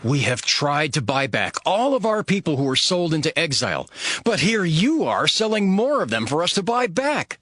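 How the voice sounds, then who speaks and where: A man's voice: fairly high pitch, big pitch swings, moderate pace, fairly bright tone, no room sound. A man playing a resolute, devout leader narrating dramatized scripture in an earnest, declamatory way. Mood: stern, indignant. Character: Nehemiah, resolute devout male leader rallying his people.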